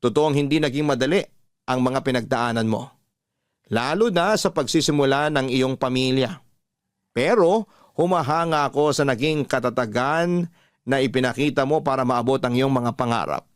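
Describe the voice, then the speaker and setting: A man hosting a radio love-story program, reading a listener's letter aloud in a slow, measured, heartfelt way. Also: mid-range voice, clear, resonant tone, dry recording, clean studio sound. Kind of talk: emotional radio storytelling narration.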